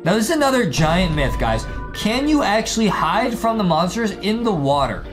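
Excitable young man, swooping pitch. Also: moderate pace, music in the background. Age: young adult. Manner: hyped, repetitive, addresses viewers as guys. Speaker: gaming video host. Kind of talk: excitable, casual gameplay commentary.

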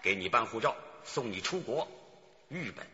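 Sly male henchman manager, radio drama, very sharp and animated, wheedling with menace, muffled recording. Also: on the low side, moderate pace, full character voice. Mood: cajoling. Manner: theatrical, cajoling and menacing by turns. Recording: dull recording with long reverb.

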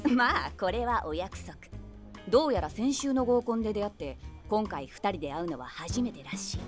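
An actress playing a wry woman of forty-six, a self-deprecating eavesdropper narrating an audio drama conversationally in the first person. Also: a little high. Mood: amused commentary. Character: wry 46-year-old woman, eavesdropping daydreamer.

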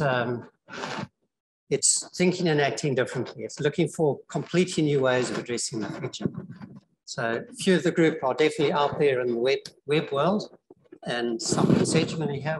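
A man's voice: fairly high pitch, a little hiss or hum, poor audio. An older man giving an informal lecture in a lecture theatre, chatty and rambling with frequent restarts. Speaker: guest lecturer.

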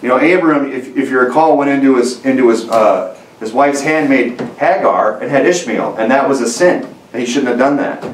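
A man's voice: mid-range voice, normal speed, a little hiss or hum. A man in his thirties preaching a sermon in a small church room, earnest and repetitive, often restarting his phrases. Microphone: camera mic from a distance. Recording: roomy sound from a distant mic.

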